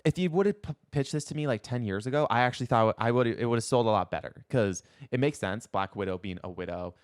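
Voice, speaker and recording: A man co-hosting a casual podcast, giving his opinions in a halting, conversational way. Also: medium pitch, clean tone. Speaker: podcast co-host.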